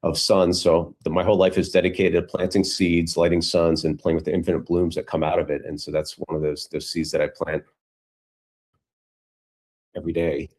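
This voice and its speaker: Male guest speaker with a low voice, informal and reflective, phrases trailing off. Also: flat delivery, brisk, darkish tone. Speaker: guest speaker, community founder. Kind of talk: casual conversational talk on a video call. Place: online video call.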